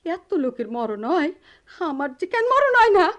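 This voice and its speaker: A woman's voice: extremely high pitch, sing-song, normal speed, a bit bright, heavily acted. An actress playing a fed-up middle-aged mother and wife worn down by poverty, with a Dhaka-area Bangladeshi accent, in a radio drama. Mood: bitter, despairing. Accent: Bangladeshi, Dhaka-area dialect.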